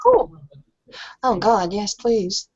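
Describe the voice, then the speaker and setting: Woman playing a shy woman, hesitant, halting, embarrassed, tabletop roleplay, dry muffled sound. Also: medium pitch, sing-song, a little mellow, slightly rough, no room sound, exaggerated voice. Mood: nervous, friendly. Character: shy, embarrassed woman saying goodbye to friends.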